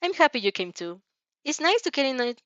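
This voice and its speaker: A woman voicing a polite, modest teenage heroine with a demure, gently teasing manner in a story reading. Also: high voice, very animated, brisk, no echo, dull audio, voice pushed up. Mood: gentle. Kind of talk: fan fiction character reading.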